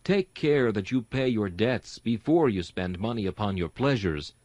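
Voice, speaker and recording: A man's voice: on the low side, lively intonation, normal speed, a little mellow, no echo. A man giving an earnest, rhetorical reading of a Victorian sermon, exhorting his listeners. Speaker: sermon reader and host.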